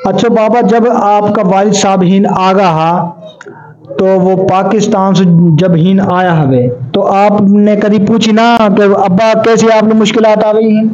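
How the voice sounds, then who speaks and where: High-pitched young Mewati man interviewing. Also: fairly even intonation, measured pace, darkish tone. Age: 20s. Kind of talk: conversational village interview. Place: small bare-walled indoor room. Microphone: small handheld mic passed between speakers. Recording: muffled, echoey small room.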